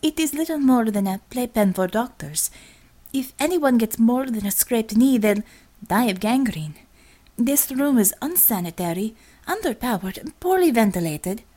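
A woman's voice: fairly high pitch, lively intonation, normal speed, mellow. Elegant female doctor, story role, voiced by a woman reader. Precise, stern, scolding but restrained. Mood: exasperated.

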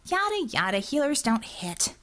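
A woman's voice: high-pitched, very animated, moderate pace, a bit bright, voice pushed up. A woman voicing a defiant, sarcastic young female healer in an audiobook, arguing in a flippant, clipped way. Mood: exasperated. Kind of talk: audiobook character dialogue.

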